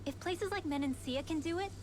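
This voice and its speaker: A woman's voice: very high-pitched, fairly quick. A woman voicing a hopeful, earnest young party member in fantasy video game acting. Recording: game music under the voice.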